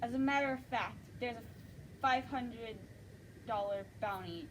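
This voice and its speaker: A woman's voice: fairly high pitch, unhurried, far from the mic, a little hiss or hum, lightly acted, low-quality recording. A woman reading the part of a stern small-town marshal at a script table read, cold and matter-of-fact.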